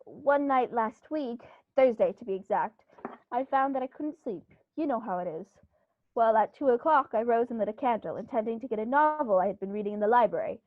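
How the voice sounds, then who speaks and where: A woman's voice: a little high, animated, a little fast, dry recording, natural voice. A woman playing an upper-class male country squire in a 1930s-style radio play, speaking with theatrical, genteel emphasis. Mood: confiding. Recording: dull, muffled recording.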